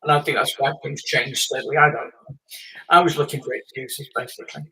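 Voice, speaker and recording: A man's voice: a little high, steady tone, brisk, vocal fry, bright, forceful tone, recorded from a distance, poor audio. A man in his late fifties, a guest on a live chat, telling long stories with many fillers and small laughs. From England. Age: 50s. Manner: rambling storyteller with fillers and laughs. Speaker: guest, former racing driver.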